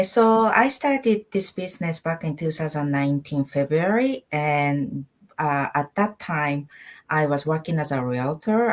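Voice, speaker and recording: A middle-aged woman from Japan speaks English as an in a low-pitched voice. She is an interview guest sharing her own story in a conversational way, repeating words for emphasis. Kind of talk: personal storytelling in an online interview.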